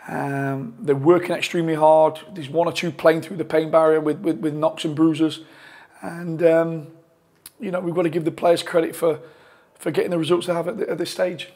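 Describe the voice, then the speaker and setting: A football manager in his forties giving a post-match interview from a press room, talking in a measured way with frequent restarts and fillers on a lapel mic. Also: fairly high pitch, little pitch movement, darkish tone. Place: press room in front of a sponsor board.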